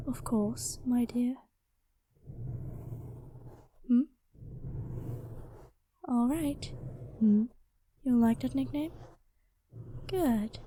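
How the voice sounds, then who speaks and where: A woman voicing a gentle, lovestruck dragon queen in an ASMR roleplay, speaking softly and intimately with formal courtly phrasing, often slipping into whispers, close on the microphone. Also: on the high side, drawn-out words, pauses often, slight background noise. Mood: tender, affectionate. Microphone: close microphone, dry sound.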